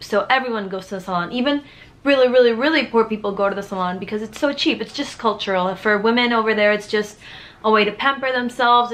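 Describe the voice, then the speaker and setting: A woman's voice: medium pitch, fairly bright tone. A young woman in her twenties from the Dominican Republic, talking openly to her camera in a small bedroom, earnest, with many fillers and restarts. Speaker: vlogger. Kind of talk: candid sit-down vlog. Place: small bright bedroom, indoors.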